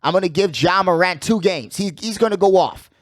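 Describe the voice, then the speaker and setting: A man hosting a casual sports talk show, giving animated, slangy commentary and repeating phrases for emphasis. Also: high voice, fairly quick, no echo, studio quality. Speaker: sports podcast host.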